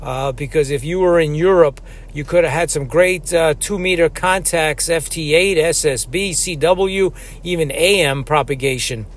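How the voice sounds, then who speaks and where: A man's voice: on the high side, moderate pace. A man who makes amateur radio videos, speaking casually as he narrates and operates.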